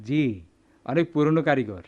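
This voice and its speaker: A man's voice: on the high side, moderate pace, darkish tone, lo-fi sound, close to an everyday voice. A man with a Bangladeshi accent acting in a radio drama as a busy, grudging goldsmith shop owner, hesitant and impatient. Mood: businesslike.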